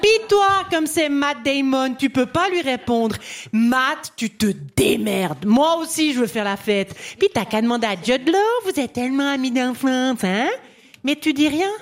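A woman comedian telling a comic bit on a panel show, landing slow, punchy punchlines. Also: high-pitched, animated. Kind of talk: comic storytelling.

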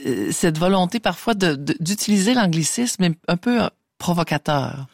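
Earnest Québécois man, fairly high voice. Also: animated, normal speed. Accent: Québécois French. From Quebec. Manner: earnest, repeats words while searching for them. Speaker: guest, preface writer. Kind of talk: conversational interview.

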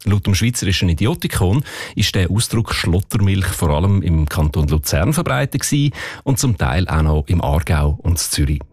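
Deep husky swiss german guy explaining stuff on the radio, dry close sound. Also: animated, a little mellow, no echo. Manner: measured explanatory delivery. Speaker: dialect expert on radio. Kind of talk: scripted radio feature.

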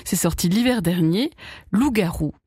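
A woman's voice: fairly low pitch, expressive, moderate pace, mellow, husky, no echo, studio quality. A woman hosting a radio interview, asking friendly, lightly playful questions. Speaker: radio host. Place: radio studio.

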